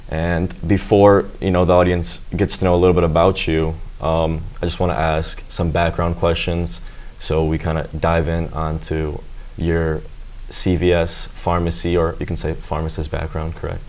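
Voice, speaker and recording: Deep-voiced teenage boy interviewer. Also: little pitch movement, normal speed, a little mellow. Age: teens. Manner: rambling, many fillers and "you know"s. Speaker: student interviewer. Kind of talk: casual interview questions.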